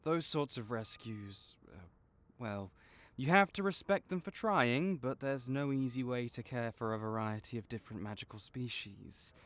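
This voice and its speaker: A man playing a kind, patient veterinarian for magical creatures in a comforting audio roleplay, explaining things gently and encouragingly. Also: mid-range voice, animated, normal speed, few pauses. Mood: earnest, sympathetic. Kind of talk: comforting audio roleplay, one-sided conversation.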